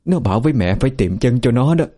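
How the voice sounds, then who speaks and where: A man's voice: medium pitch, animated, fast, warm tone, voice pushed up, lightly acted. A man voicing an elderly woman driven mad by grief, distraught and confused. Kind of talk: audiobook character voice.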